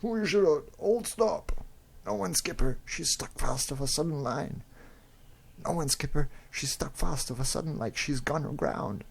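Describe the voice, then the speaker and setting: Man putting on high-pitched, excitable old-time sailor. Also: measured pace, creaky, husky, full character voice. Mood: alarmed. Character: excitable 19th-century sailor crew, boastful and alarmed. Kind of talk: comic-book dramatic reading. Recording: noticeable background noise.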